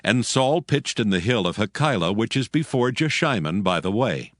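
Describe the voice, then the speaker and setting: Low, expressive male Bible narrator. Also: no echo, clean studio sound. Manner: solemn, measured storytelling. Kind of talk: scripted dramatized Bible narration.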